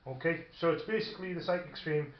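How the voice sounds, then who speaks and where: A man's voice: on the high side, quick pace. A man playing a tabletop wargame, chatting casually and hesitantly as he thinks aloud and reads out rules. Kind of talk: casual game chat, partly reading rules aloud. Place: indoor room during a filmed tabletop game. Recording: roomy, dull, faint background noise. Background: faint noise, radio playing.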